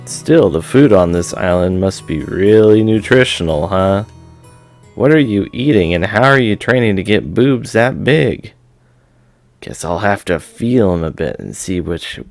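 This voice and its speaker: A man's voice: sits low, lively intonation, unhurried, gravelly, darkish tone, faint music behind, exaggerated voice. A man voicing a brash, lecherous, joking young man in a visual novel read-aloud. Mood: lecherous, playful.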